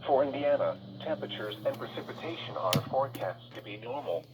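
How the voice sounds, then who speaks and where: A man's voice: medium pitch, animated, brisk, a crowd in the background. A synthetic-sounding male announcer reading a formal scripted weather bulletin impersonally through a radio speaker. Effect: played through a weather radio speaker. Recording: distant noisy radio reception, re-recorded in room.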